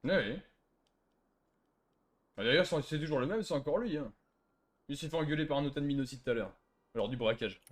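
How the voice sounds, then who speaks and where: A man's voice: fairly high pitch, fairly quick, pauses often. A man arguing casually and in slang as a player in an online roleplay game. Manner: slangy, argumentative.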